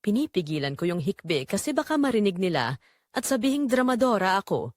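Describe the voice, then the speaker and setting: Expressive Filipina mother, weary and confiding, played by an actress. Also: medium pitch, fairly quick, no echo, studio quality, close to an everyday voice. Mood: weary, pained. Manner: emotive, confiding storytelling. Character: weary, anguished Filipina mother working abroad as a maid. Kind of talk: dramatic first-person confessional narration for an audio drama.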